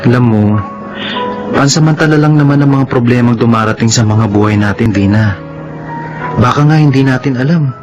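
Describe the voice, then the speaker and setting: A man playing a supportive friend in a radio drama, gently reassuring and giving counsel. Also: medium pitch, brisk, long pauses, lightly acted. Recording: dull radio sound, music behind.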